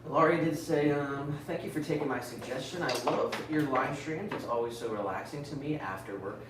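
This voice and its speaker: A man co-hosting a casual pottery livestream, reading viewer comments aloud in a relaxed way. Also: mid-range voice, normal speed. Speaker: livestream co-host reading chat. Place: pottery studio. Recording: echoey room, mic at a distance, noisy.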